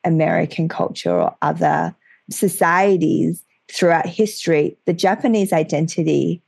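Conversational host, woman, low and gritty, dead-dry studio. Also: normal speed, vocal fry, darkish tone, husky, no echo. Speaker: podcast host. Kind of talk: podcast interview. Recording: dry close sound.

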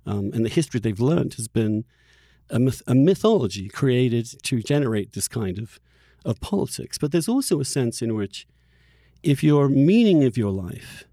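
Middle-aged guy on a podcast, medium pitch, argues hard, opinionated, really dry sound. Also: warm tone, husky, dry recording. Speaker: podcast host. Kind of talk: opinionated interview conversation.

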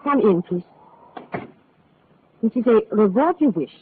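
Haughty mistress, high and swooping, theatrical, on tinny old radio. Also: female voice, animated, unhurried, a little hiss or hum. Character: melodramatic, haughty foreign kept woman, clinging to her lover. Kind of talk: old-time radio drama acting.

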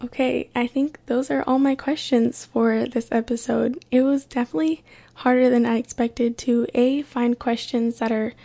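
A young woman born in Kenya, hosting a solo podcast and chatting casually, with a relaxed, filler-heavy way of talking. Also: on the high side, normal speed, gravelly. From born in Kenya, raised in California. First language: Swahili. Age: young adult. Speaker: podcast host.

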